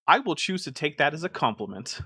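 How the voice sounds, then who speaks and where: A man talking in a bright, clear, forward tone with a fairly high pitch, recorded dry and close with no room sound. He is hosting a live stream and reacting to a video. His delivery is chatty and exasperated, with lots of "like" fillers. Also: sing-song, a little fast, dry recording. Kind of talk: casual live-stream commentary.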